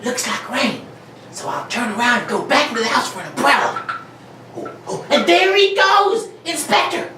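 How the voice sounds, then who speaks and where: A performer playing a slangy, excitable, wisecracking police sergeant in an amateur 1940s-style radio play. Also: high voice, moderate pace, full character voice. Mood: excited. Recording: echoey room, distant mic, faint noise.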